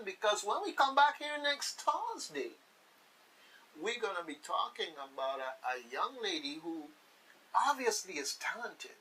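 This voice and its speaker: A man's voice: sits high, sharp tone. A man with a Virgin Islands accent hosting a radio talk show, speaking to listeners in a folksy, emphatic way and repeating phrases for weight. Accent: Virgin Islands Caribbean. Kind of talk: casual on-air discussion addressed to listeners. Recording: hissy, distant microphone.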